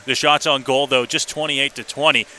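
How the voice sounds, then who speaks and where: A man's voice: medium pitch, steady tone, moderate pace, sharp tone, a little hiss or hum. A man working as a hockey play-by-play announcer, giving radio commentary on a game from an indoor arena. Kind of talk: radio sports commentary during intermission.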